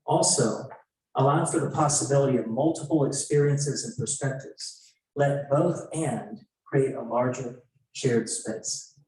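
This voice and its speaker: Male co-facilitator, mid-pitched, measured phrasing, reading prepared text in a very reverberant room, away from the mic. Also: darkish tone, a little hiss or hum. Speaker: event co-facilitator. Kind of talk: reading prepared discussion guidelines aloud. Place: indoor event room. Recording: very echoey room, distant mic.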